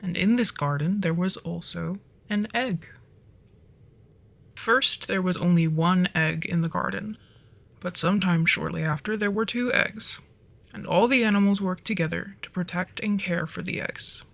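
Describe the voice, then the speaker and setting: A performer of unclear sex voicing a flirty, easily flustered dragon storyteller in an ASMR roleplay, softly and breathily, often slipping into whispers. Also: mid-range voice, normal speed, creaky. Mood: gentle, storytelling.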